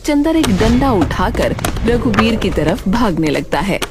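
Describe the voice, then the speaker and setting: Animated female storyteller over music. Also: medium pitch, unhurried. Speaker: narrator. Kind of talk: scripted story narration. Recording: clear music bed behind narration.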